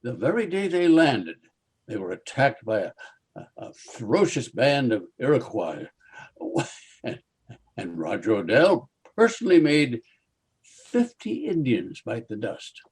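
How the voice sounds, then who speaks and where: A slow-talking, husky male undertaker for old-time radio comedy. He is genial and ghoulishly jolly, with formal, florid phrasing and a swooping, lively pitch. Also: exaggerated voice. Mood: proudly storytelling. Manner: formal, florid, chuckling delivery.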